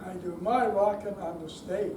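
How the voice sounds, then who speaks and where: A woman's voice: mid-range voice, moderate pace, warm tone. An older woman reciting song lyrics as spoken lines at an amateur live performance. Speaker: amateur readers theater performer. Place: indoor senior center room, live performance. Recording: roomy, distant mic, noisy hall.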